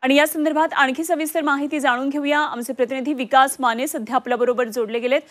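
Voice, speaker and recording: A woman's voice: high voice, fairly even intonation, fast talker. A woman reading the news as a studio anchor. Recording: music bed behind voice.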